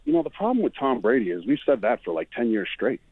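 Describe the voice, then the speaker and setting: A man's voice: medium pitch, sing-song, fast, noisy background. A man in his forties from New Zealand, giving blunt, rambling opinions as a commentator on a radio sports show. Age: 40s. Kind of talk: casual radio sports chat. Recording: dry tinny phone line.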